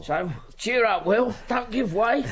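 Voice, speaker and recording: A man's voice: high-pitched, animated, takes it slowly, fairly bright tone, heavily acted. An actor playing a kindly, poor old ticket porter in a radio drama, stammering and repeating himself as he fusses. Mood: gentle, encouraging. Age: older.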